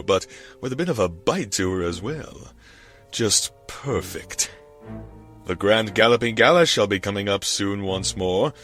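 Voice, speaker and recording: A haughty stallion captain of the guard in a fantasy story. His voice is sharp and swings widely in pitch, full of boastful pomp. Music plays behind him. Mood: lustful, dreamy. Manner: pompous, boastful. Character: arrogant, leering unicorn stallion guard captain. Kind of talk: dramatised fan fiction reading.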